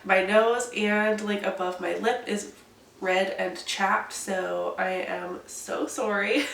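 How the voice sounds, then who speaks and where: A woman's voice: mid-range voice, steady tone, slow pace, gravelly, a bit bright. A young woman with a stuffy, congested voice from a cold, chatting casually through a makeup update vlog. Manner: chatty, detailed product talk. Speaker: beauty vlogger. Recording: echoey room, distant mic, faint hiss.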